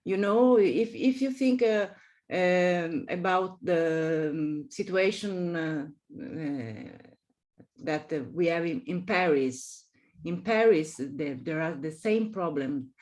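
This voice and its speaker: A woman with an Italian accent, a native Italian speaker, answering as an academic guest and haltingly searching for her English words. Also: on the low side, a little mellow. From Italy. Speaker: architecture professor, guest lecturer. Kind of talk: academic discussion at a webinar.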